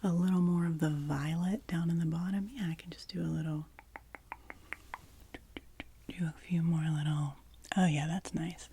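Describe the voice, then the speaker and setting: Woman, ASMR whisperer playing a face painter; low mellow whisper, gentle rambling chat. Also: fairly even intonation, normal speed, long pauses, a little hiss or hum. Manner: soft, close whispering; gentle, meandering, chatty. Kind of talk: ASMR roleplay.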